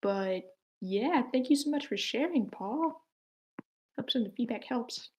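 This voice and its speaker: A woman's voice: on the high side, animated, measured pace. A woman hosting an online screenplay table read, speaking casually as she gives feedback and moderates.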